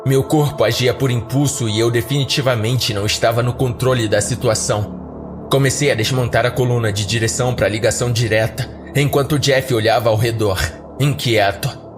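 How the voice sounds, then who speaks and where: A man with a mid-pitched voice narrates a scripted horror tale in the first person. Faint music runs beneath his podcast narration. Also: fairly even intonation, moderate pace.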